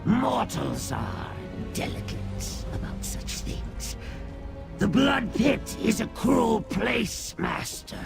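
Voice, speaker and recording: A sly, fawning demonic servant from a fantasy game. The voice is heavily creaky and swoops wildly in pitch as it oozes deference, with loud music behind it. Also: male voice, big pitch swings, full character voice. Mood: sly, menacing. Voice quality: creaky, gravelly. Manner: slow, theatrical, swooping delivery. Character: servile, creepy male Daedric servant, slyly deferential to a master. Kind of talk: fantasy video game acting.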